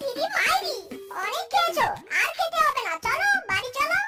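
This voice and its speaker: An actor voicing a whiny, excitable, henpecked husband in a children's fox cartoon, full of exclamations. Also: very high-pitched, normal speed. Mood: agitated. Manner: exclamatory, with a repeated catchphrase. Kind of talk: exaggerated children's cartoon voice acting. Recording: very echoey, distant, music underneath.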